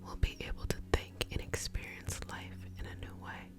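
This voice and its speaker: A woman whispering a guided ASMR healing meditation in a breathy, soothing, repetitive way. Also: normal speed. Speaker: ASMR Reiki healer and host.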